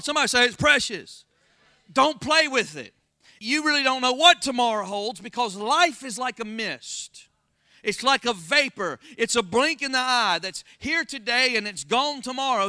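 A pastor with a Southern Florida accent preaching a sermon, folksy and calling out to the congregation for responses. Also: male voice, extremely high pitch, sharp tone, no room sound, clean studio sound. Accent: Floridian Southern American. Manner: call-and-response, folksy, rising to emphatic exclamations.

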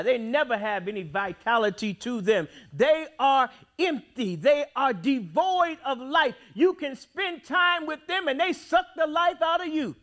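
A man preaching a sermon in a church, with dramatic swings between loud outbursts and quiet, drawn-out phrases. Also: very high voice. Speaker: pastor. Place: church sanctuary.

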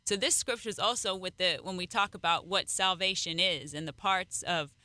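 A radio co-host with a non-native English accent, reading scripture slowly and adding short affirmations. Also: medium pitch, sharp tone, dry recording. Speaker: co-host pastor.

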